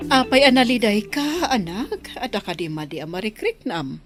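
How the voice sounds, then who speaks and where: A woman's voice: a little high, sing-song, moderate pace, soft background music. A woman playing a concerned, nagging middle-aged village mother in an Ilocano comedy radio drama, with an Ilocano Filipino accent. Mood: concerned, scolding.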